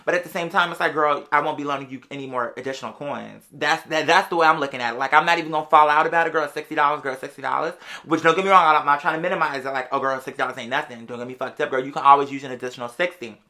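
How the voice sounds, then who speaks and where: Fast, bright, sassy American storyteller. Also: medium pitch. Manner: sassy, slangy, conversational. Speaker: vlogger. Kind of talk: casual storytelling to viewers.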